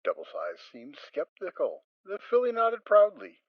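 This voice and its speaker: A man reads the narration with lively, swinging intonation. His voice sits in a middle register. The recording is dry and a little dull. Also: high voice, moderate pace, no echo. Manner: expressive storytelling. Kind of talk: dramatic story narration.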